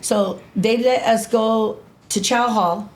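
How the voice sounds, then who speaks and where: A woman in her fifties telling a gritty personal story in a casual interview, animated, repeating herself and swearing freely. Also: on the high side, slow. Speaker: interview guest.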